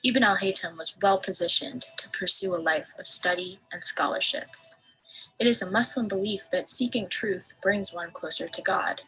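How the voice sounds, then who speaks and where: A young woman reading a scripted documentary narration for a student presentation, steady and at times breathy. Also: mid-range voice, moderate pace, poor audio.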